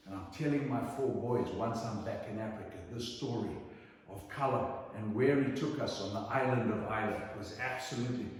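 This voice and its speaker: A man's voice: mid-range voice, darkish tone. Man storytelling in a very echoey hall. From Zimbabwe. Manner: theatrical, emphatic with dramatic pauses. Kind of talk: animated anecdotal storytelling. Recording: echoey hall, distant microphone.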